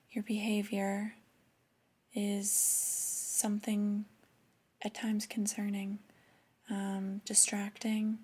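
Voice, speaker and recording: A woman playing a displeased but calm female boss in a soft-spoken ASMR roleplay, with a breathy voice and measured, pausing delivery. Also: mid-range voice, heavily acted. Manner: hesitant, measured, with drawn-out pauses.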